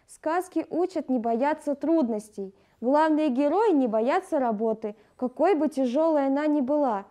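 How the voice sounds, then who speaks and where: High, mellow-voiced woman presenting a lesson. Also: animated, normal speed. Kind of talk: scripted educational narration.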